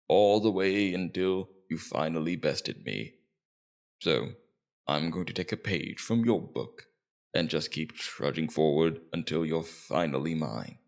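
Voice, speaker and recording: A man playing a suave, menacing demon king in an ASMR roleplay, coaxing and condescending in a slow, deliberate, domineering way. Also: low-pitched, gravelly. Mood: condescending, probing. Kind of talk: ASMR roleplay character acting.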